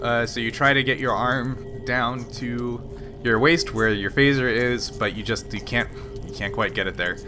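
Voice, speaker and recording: A man's voice: medium pitch, fairly even intonation. Man running a tabletop game over music. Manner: halting, filler-heavy narration with frequent restarts. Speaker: game master.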